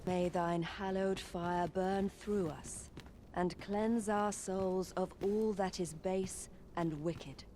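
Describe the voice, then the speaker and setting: An actress playing a solemn, reverent empress in a fantasy video game, in ceremonial recitation. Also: female voice, medium pitch, steady tone, takes it slowly, noisy background, close to an everyday voice. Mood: solemn, devout. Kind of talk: video game character dialogue.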